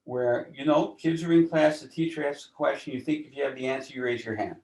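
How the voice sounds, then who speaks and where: A man explaining ideas as an interview guest in a deliberate, teacherly way, often posing rhetorical questions. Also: mid-range voice, darkish tone. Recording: roomy, echoing voice.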